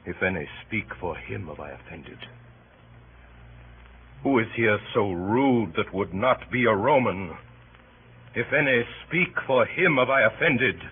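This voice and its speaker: An honorable roman senator speech, lowish and really slow, solemn old radio shakespeare. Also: male voice, animated. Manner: deliberate, measured rhetoric. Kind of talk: classical radio drama oratory. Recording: tinny old radio recording, faint noise.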